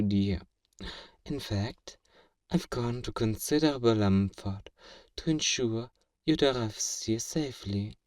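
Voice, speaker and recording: A man playing a feared fantasy villain in a flirtatious audio roleplay, measured, amused and condescendingly courteous, in an intimate ASMR acting style. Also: medium pitch, a little mellow. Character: feared male fantasy villain, suave, teasing, flirtatiously obsessive. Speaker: voice actor performing a roleplay. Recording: roomy echo, distant from the mic.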